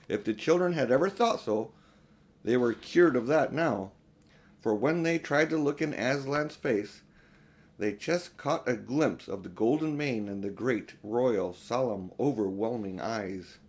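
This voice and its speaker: An older man reads a storybook aloud in a middle-pitched voice. His delivery is homely and unpolished, an amateur storyteller at ease with the page. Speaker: audiobook reader. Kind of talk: storybook reading with informal asides.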